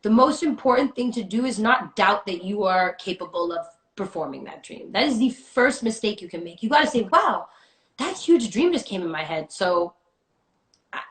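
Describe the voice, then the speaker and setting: A woman's voice: mid-range voice, expressive, normal speed, roomy sound. A woman recording artist singing and rapping in a home studio, switching into Hindi lines and vocal percussion, then chatting casually.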